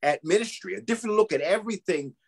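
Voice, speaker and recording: A man with a husky voice speaking as a guest in a casual interview, easing into his points with thoughtful wording. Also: high voice, expressive, quick pace. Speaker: church apostle, interview guest.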